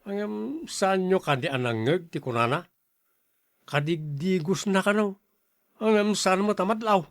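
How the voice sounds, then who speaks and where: A man's voice: high voice, a little slow, no echo, clean studio sound. A man with an Ilocano accent acting a stern, blustery older brother who turns smitten suitor in a radio drama. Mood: scolding. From Philippines.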